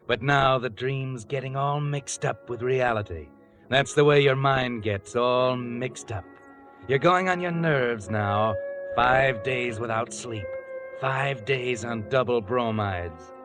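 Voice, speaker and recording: Foreboding male mystery storyteller played by a man, 1940s radio, medium-pitched, taunting, over clear backing music. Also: natural voice. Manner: deliberate, foreboding, addressing the listener as you. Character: ominous, taunting unseen male storyteller of crime tales.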